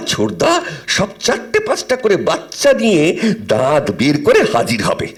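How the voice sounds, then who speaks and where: Theatrical, sarcastic Bengali husband, animated. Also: male voice, a little high. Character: middle-aged grumbling, teasing Bengali husband, a poetry-loving writer. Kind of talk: audio drama acting, domestic comedy. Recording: music under the voice.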